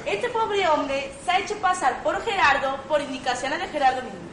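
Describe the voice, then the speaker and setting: A woman's voice: high voice, normal speed, a bit bright. A woman in an amateur stage farce playing an earnest young bride-to-be, theatrical and declamatory, in an echoey noisy hall.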